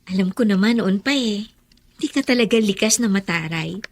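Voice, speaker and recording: An actress playing a kind, forgiving older sister of school age in a radio drama. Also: female voice, medium pitch, brisk, close to an everyday voice. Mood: warm, hopeful.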